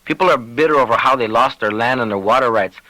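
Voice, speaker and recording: A man's voice: medium pitch, fast talker, pushed and bright, no echo, natural voice, rough recording. A man voicing a weary small-town sheriff in an audiobook, folksy and uncertain. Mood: worried.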